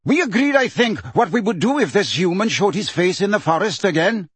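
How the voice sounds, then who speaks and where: Man voicing a contemptuous bearded centaur; raised, sneering, loud. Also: high-pitched, animated, brisk, slightly rough, dry recording, pitched above the performer's own voice. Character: hostile bearded male centaur, contemptuous and nasty.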